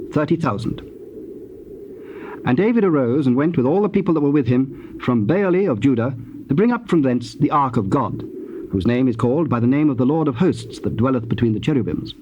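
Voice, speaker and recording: Male scripture narrator on dull tape. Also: mid-range voice. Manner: measured, formal storytelling. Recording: dull tape with faint noise.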